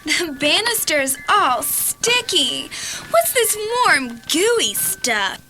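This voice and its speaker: Easygoing, game-for-anything girl, kids' horror tape: high voice, unhurried, breezy. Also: very animated, pushed and bright, soft background music, full character voice. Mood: curious. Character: adventurous, easygoing young girl, a friend on a dare. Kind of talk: children's audio horror drama acting.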